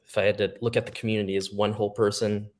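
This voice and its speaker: Earnest, low-voiced male podcast guest. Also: little pitch movement, very fast, a little mellow. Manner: earnest, reflective, optimistic. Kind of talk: casual podcast chat.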